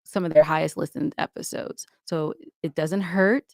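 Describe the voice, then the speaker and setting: A woman's voice: deep voice, steady tone, moderate pace, a little mellow, dry recording. A woman podcaster speaking conversationally as an interview guest, giving advice in an emphatic, opinionated way.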